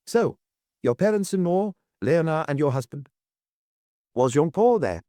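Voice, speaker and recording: A man's voice: on the high side, animated, moderate pace, dark tone, no echo, clean studio sound, pitched above the performer's own voice, natural voice. A man voicing a solemn examining magistrate in an audiobook, putting short, pointed, formal questions. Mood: probing.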